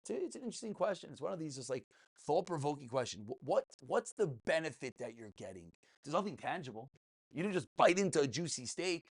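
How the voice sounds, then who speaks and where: A man teaches a religious class as a rabbi. His pitch swings widely as he stresses key words and repeats them for emphasis. He speaks informally and keeps putting questions to his listeners. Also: very animated, fast. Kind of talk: informal Talmud and ethics lecture.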